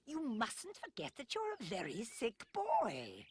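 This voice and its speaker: An actress playing a stern, controlling, scheming housekeeper in an animated drama, severe and theatrical. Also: female voice, a little high, unhurried, slightly rough, no echo, exaggerated voice. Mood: restrained.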